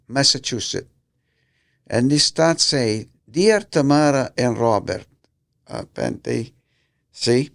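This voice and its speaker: Gravelly, slow-talking Italian man. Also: medium pitch, no echo. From Italy. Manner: halting, slips and rereads words, many fillers. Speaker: podcast host. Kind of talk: casual solo podcast, reading newspapers aloud. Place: podcast studio. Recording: dry close studio sound.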